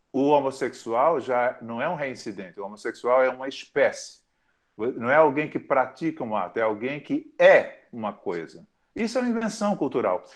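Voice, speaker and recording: A thoughtful man lecturing. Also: fairly high pitch. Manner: thoughtful, with frequent pauses and self-corrections. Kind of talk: academic lecture.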